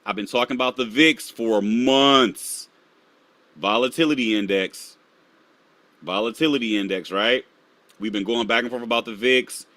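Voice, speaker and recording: Male stream host, mid-pitched, casual chart talk, dry close sound. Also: normal speed, bright, forceful tone, no echo. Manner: casual, talks to viewers while pulling up charts; drops to a low mutter while searching charts. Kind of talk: live market analysis.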